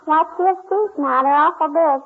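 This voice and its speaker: A very high, chirpy child's voice, acted by a woman, cheeky and insistent. The delivery is playful 1940s radio comedy. The sound is thin and tinny, like an old broadcast. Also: normal speed, natural voice. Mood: playful, earnest. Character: cheeky little child who claims to see elves.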